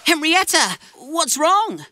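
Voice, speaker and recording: A woman's voice: high-pitched, big pitch swings, slow pace, pushed and bright, slight background noise, exaggerated voice. A woman voicing a cheerful, eager young boy train in a children's cartoon, bouncy and earnest. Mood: helpful. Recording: music under the voice.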